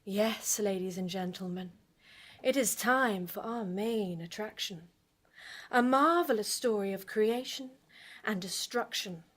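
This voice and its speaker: A theatrical hostess of a vintage radio show, with a slightly high voice and a slow, savoring delivery. She is arch, flirtatious and relishes the macabre as she presents to her listeners. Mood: grand, ominous. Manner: arch, teasing, drawn-out delivery. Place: live on a festival stage.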